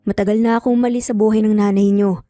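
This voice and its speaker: A woman voicing a stern, protective father in an audio story, in a firm, measured manner. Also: mid-range voice, level tone, dark tone, dry recording, clean studio sound, soft background music, lightly acted. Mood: serious, regretful.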